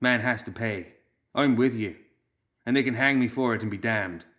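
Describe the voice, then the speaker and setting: A man voicing a bitter young male farmhand who blurts out rough country talk. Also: mid-range voice, normal speed, fairly bright tone, natural voice. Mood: angry.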